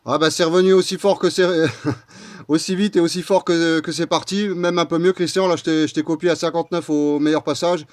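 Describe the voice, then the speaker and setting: Ham radio guy chatting over two-way radio, voice a bit high, casual signal-report talk. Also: steady tone, fairly quick, no room sound, studio quality. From northeast France. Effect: two-way radio transmission. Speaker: amateur radio operator.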